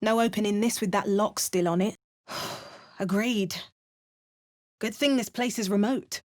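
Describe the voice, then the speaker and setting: A woman's voice: medium pitch, unhurried, a little mellow, lightly acted. A woman voicing a wry, dramatic female adventurer hero in an exaggerated mock Cockney accent, with hammy, theatrical spell chants. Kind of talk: parody game voice lines.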